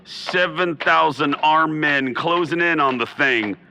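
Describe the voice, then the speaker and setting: A man's voice: on the high side, takes it slowly, vocal fry, noisy background. A man playing a blustering military commander in a comedic radio drama, pompous, declamatory and a little folksy. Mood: confident. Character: blustering military field commander and bomber lieutenant.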